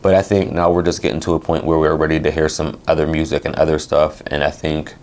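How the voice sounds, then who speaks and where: Young guy with a super deep voice, talks fast and easy, lots of "like". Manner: fast, relaxed, says "like" often. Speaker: guest singer and painter. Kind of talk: casual interview conversation.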